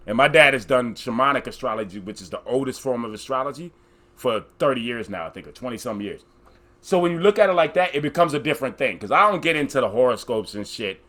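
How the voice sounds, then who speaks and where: A man's voice: medium pitch, fairly bright tone. A man in his forties hosting a podcast, joking around in a laid-back, profane way. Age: 40s. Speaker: podcast host. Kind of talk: casual podcast chat.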